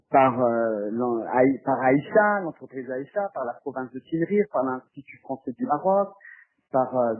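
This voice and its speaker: A man from France, giving spontaneous interview answers as a filmmaker, hesitant and often repeating words as he searches for phrasing. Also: medium pitch. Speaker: documentary filmmaker being interviewed. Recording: muffled, phone-like narrow band.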